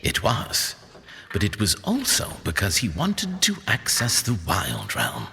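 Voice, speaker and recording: Echoing, theatrical, deep old storyteller, a man acting. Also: wildly swooping pitch, unhurried, sharp tone, heavily acted. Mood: knowing, explanatory. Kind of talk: fan audio drama acting. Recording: reverberant hall with faint noise.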